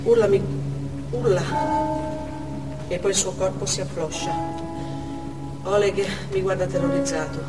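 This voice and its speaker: Actress as shaken hostage woman, halting stage monologue, medium pitch, echoey hall w loud music. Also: fairly even intonation, far from the mic, natural voice. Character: shaken female hostage in the theatre audience.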